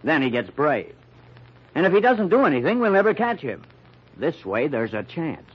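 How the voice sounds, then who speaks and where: An actor playing a brash, wisecracking newspaper reporter in an old-time radio mystery, with blunt, slangy, sardonic delivery. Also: male voice, fairly high pitch, sing-song, moderate pace, slightly rough, lo-fi sound. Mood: wry, confident.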